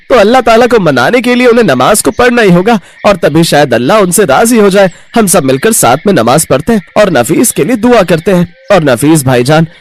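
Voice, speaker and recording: A man voicing a gentle, devout talking goat in a children's cartoon story, speaking in an earnest, preaching manner. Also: high-pitched. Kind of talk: children's animated moral story acting.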